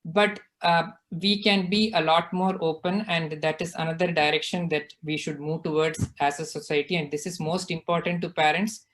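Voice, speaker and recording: A man's voice: on the high side, flat delivery, normal speed, few pauses, fairly bright tone. Earnest father explaining. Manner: earnest, explanatory, often checks in with "right?". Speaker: guest speaker. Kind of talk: casual discussion on a video call.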